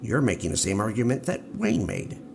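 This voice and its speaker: An elderly male prisoner, played by a man in a radio drama, speaks from deep in his register with a heavy rasping creak. He is calm, repentant and cryptic, pressing his points in a measured way. Clear background noise surrounds him. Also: exaggerated voice. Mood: calm, insistent. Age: older. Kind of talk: audio drama acting. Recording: noisy ambience behind the voice.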